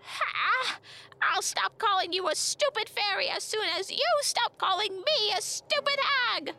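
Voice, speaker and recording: A woman voicing a cranky, sarcastic old witch healer in a screechy, high-pitched voice for a fantasy story. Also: very animated, slow pace, sharp tone, exaggerated voice. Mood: screeching, scolding. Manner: mocking, nagging, insulting.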